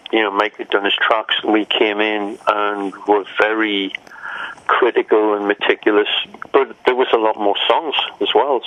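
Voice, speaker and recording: An older man telling stories in a casual interview, often mimicking other people and laughing. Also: lowish voice. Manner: lively storyteller who mimics others' voices and laughs often. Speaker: rock musician interviewee. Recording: dull lo-fi audio.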